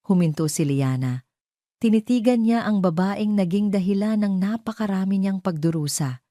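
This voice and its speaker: A woman narrating a scripted romance drama as a storyteller, in a measured, solemn manner. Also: medium pitch, expressive, dark tone, dry recording, clean studio sound.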